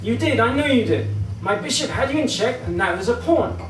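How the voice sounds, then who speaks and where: A man's voice: very high-pitched, expressive, fairly quick, noisy background, pitched above the performer's own voice. A man voicing an earnest, stubborn young boy in a short story read live. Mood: outraged. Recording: distant, echoey live recording in a venue.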